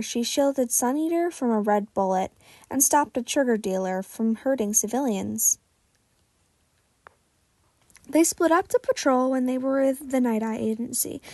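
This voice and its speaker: Female podfic reader, high-pitched voice, dry close sound, casual narration of fan fiction. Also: a little mellow, no room sound.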